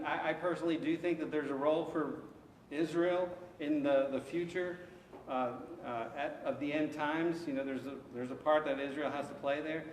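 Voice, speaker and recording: Pastor teaching in an echoey church hall. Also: male voice, medium pitch, recorded from a distance, slight background noise. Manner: conversational, takes questions, frequent restarts and acted asides. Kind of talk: interactive Bible study teaching. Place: church sanctuary.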